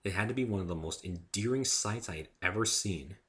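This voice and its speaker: A man's voice: low voice, fairly quick, fairly bright tone. A man reading a first-person story aloud as a dramatic narrator.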